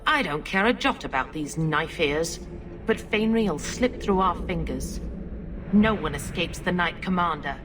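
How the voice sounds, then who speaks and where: Villainous female officer, lowish voice, scornful authority, game cutscene with noisy sound. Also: lively intonation, measured pace. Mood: threatening. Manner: scornful, commanding. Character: menacing, contemptuous female templar officer hunting mages. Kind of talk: video game voice acting.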